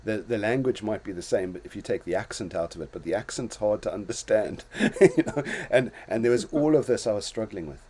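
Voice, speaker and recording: A man's voice: fairly low pitch, lively intonation, brisk, hardly any pauses, a little mellow, slight background noise. An older man from Zimbabwe hosting a casual talk show, reflecting on his own life in a storytelling way with many restarts and small chuckles. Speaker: host.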